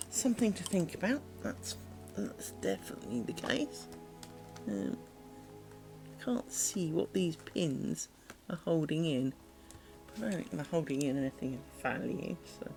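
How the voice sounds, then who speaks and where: A woman chatting casually on a craft live stream, rambling and hesitant with many ums and restarts. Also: deep voice. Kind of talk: casual live-stream chat while making lace.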